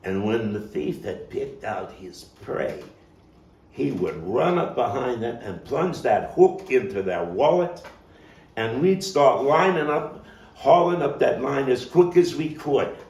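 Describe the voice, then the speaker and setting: A man performing a theatrical monologue as a coarse, wry, streetwise Brooklyn man looking back on his boyhood gang, telling it with dramatic pauses and emphatic swells. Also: mid-range voice, lively intonation, a little mellow, pitched above the performer's own voice. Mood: relishing, excited. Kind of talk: theatrical monologue, table read. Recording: roomy, distant mic, faint noise.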